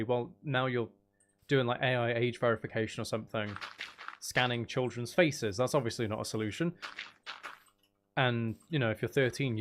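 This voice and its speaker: A man's voice: medium pitch, slight background noise. A man hosting a livestream, chatting casually in a sarcastic, exasperated ranting manner. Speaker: livestream host.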